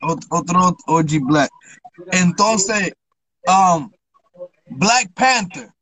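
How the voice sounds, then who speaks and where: A man hosting a casual podcast interview asks his questions in a halting way, repeating words as he searches. His voice is high for a man and he speaks slowly. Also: animated, a bit bright, no echo, poor audio. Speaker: podcast host.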